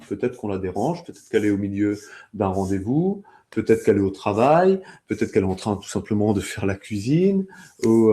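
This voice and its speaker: Relaxed, dark-toned man explaining step by step. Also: mid-range voice. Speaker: sales trainer.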